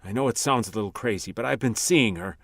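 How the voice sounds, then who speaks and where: A man playing a nervous, guilt-haunted attorney in his late thirties in a radio drama, with halting delivery. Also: fairly low pitch, fairly bright tone, dry recording, clean studio sound. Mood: troubled.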